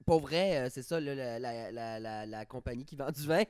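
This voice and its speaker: A man's voice: medium pitch, moderate pace, a little mellow, smooth, dry recording, clean studio sound. A man with a Quebec French accent co-hosting a casual chat in an irreverent, slangy, joking way. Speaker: podcast co-host.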